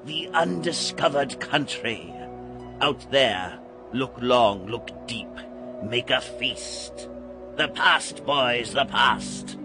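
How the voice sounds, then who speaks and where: An actor playing a theatrical, sinister old guide to Halloween in an audio drama, grandiose and teasing with dramatic pauses. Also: male voice, medium pitch, expressive. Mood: grand, beckoning. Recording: music behind the voice.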